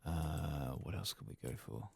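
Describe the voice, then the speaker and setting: A soft-spoken man murmuring unhurried video game commentary in an ASMR style, at times slipping into a whisper. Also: very deep voice, expressive, gravelly, husky. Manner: murmured, unhurried, reading quest text aloud. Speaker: ASMR gaming channel host.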